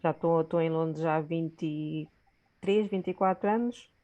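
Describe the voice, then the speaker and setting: A middle-aged woman from Guinea-Bissau, introducing herself haltingly as a psychologist on an online panel. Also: low voice, mellow. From Guinea-Bissau, lived long in Portugal. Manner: hesitant, halting. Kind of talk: informal self-introduction at online event. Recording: muffled online call.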